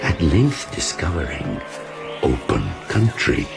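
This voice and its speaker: An actor playing an articulate, sorrowful outcast monster with a gravelly voice, telling his tale in formal archaic speech in a radio drama. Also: extremely low pitch, wildly swooping pitch, slow pace, exaggerated voice. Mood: wondering. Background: music.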